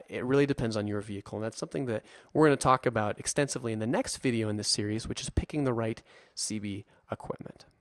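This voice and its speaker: A man's voice: mid-range voice, creaky, no echo, studio quality. A man presenting an informal, conversational slide tutorial as an instructor, in a reassuring way. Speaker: instructor and presenter for a radio equipment retailer. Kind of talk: explanatory narration of a slide tutorial.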